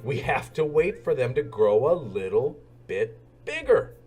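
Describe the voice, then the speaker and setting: A kindly old grandfather pig from a storybook, acted out by a man, patient and slow in his explaining. Music plays behind the lively delivery. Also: medium pitch, breathy edge.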